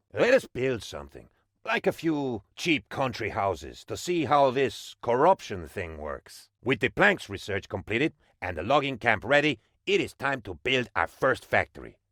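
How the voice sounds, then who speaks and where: A devoted, fawning male advisor to a tropical strongman in a video game. His medium-pitched voice leaps around with theatrical, cheerful eagerness as he explains things to his leader. The sound is dry and studio-clean, with no room around it. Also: a bit bright, dry recording, heavily acted. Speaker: tutorial guide in a strategy game.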